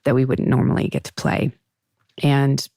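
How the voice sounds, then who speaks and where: Low, gravelly-voiced woman host. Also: little pitch movement, normal speed, dark tone, dry recording, clean studio sound. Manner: chatty, emphatic, thinking aloud. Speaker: podcast host. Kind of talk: casual interview chat.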